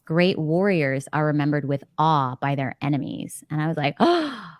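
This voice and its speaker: A woman hosting a casual book club chat over a video call, talking in an exclamatory, animated way. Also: low-pitched, moderate pace, no room sound. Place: indoor room, video call.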